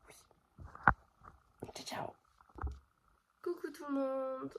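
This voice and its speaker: A woman's voice: high voice, steady tone, drawn-out words, creaky, very noisy. A woman vlogging from her bedroom at home with a stuffy nose from a cold, chatting straight to her viewers with plenty of fillers. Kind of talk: casual chat to camera.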